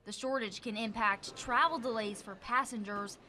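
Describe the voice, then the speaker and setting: A woman's voice: on the high side, moderate pace, pushed and bright, a little hiss or hum. An American woman working as a television news reporter, delivering a live report with scripted narration. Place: on location at an airport for live segments.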